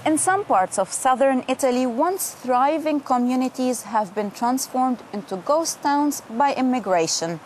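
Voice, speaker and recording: Presenter: woman, fairly high voice, crisp measured script read. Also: animated. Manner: clear, measured delivery. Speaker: news magazine presenter.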